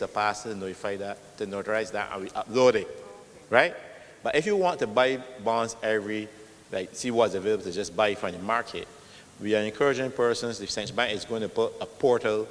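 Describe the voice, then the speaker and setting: Loud, low-voiced man explaining. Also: a little hiss or hum. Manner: patient, explains with worked examples. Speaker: official explaining bonds. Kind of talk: Q&A answer at a meeting. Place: meeting hall. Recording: long reverb in a hall.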